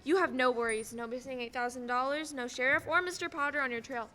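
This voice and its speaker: Young woman acting bright, chirpy angel, high voice. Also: steady tone, fast talker. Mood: earnest, bright. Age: teens to young adult. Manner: eager, chatty, quaintly proper. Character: chirpy, earnest second-class guardian angel, female. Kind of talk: stage radio play acting. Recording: echoey hall, faint background noise.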